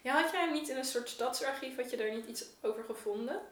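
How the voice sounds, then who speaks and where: A woman's voice: high-pitched, a little fast, recorded from a distance. A woman chatting casually as a podcast guest, informal and often checking herself. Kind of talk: casual conversation. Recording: echoey room, away from microphone.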